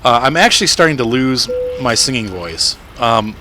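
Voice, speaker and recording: A man in his thirties hosting a gaming channel. He rambles through casual live-stream gameplay commentary with lots of fillers, and his voice sounds slightly rough and crackly. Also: medium pitch, animated, normal speed. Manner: rambling, chatty, with frequent fillers and soft laughs.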